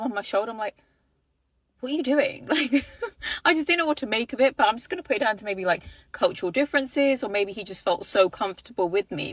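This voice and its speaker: A woman's voice: mid-range voice, fairly bright tone, dry recording. A young woman telling a dating story in a casual, chatty storytime video, acting out what people said. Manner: chatty, quotes people in playful voices.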